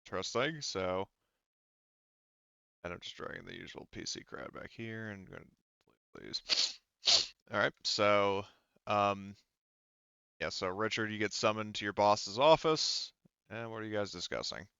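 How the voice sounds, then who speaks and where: A low-voiced man with a clean, smooth tone runs a tabletop game. He narrates informally and haltingly, with casual swearing. The recording is dry and a little dull. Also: sharp tone, dry recording. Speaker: game master.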